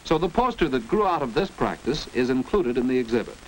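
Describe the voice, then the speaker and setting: American guy narrator, 1940s school film, teachy and crisp diction, up and down intonation, bit of noise. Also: mid-range voice, very animated, fairly quick. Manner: didactic, precise enunciation. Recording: noisy 1940s educational film soundtrack.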